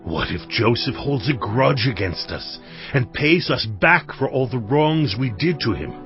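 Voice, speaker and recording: An actor playing an anxious, guilty Hebrew brother begging forgiveness in a dramatized scripture reading, husky and earnest. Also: male voice, mid-range voice, animated, measured pace. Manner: pleading, earnest. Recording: slightly dull audio with music behind.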